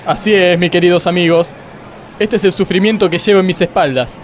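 An actor with an Argentine accent playing a melodramatic, plaintive judge in a comic radio play. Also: male voice, high voice, fairly even intonation, brisk, a little hiss or hum, natural voice. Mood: woeful, earnest. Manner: plaintive, theatrical. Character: woeful, melodramatic male judge lamenting his petty troubles. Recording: radio sketch with music underneath.

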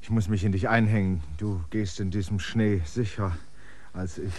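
Ein Schauspieler spielt in einem Hörspiel einen erschöpften, flehenden Landvermesser, der drängend und bittend spricht. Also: male voice, on the low side, fairly even intonation, moderate pace, a little mellow, slight background noise, close to an everyday voice. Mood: pleading. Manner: pleading, clinging, insistent. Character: weary stranded male land surveyor, pleading and insistent. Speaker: land surveyor character. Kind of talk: radio play acting.

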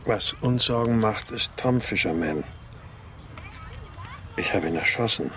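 A man's voice: lowish voice, expressive, a little slow, fairly bright tone, slight background noise. An actor in a German radio crime drama, playing an anxious, protective father searching for his kidnapped daughter. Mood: subdued.

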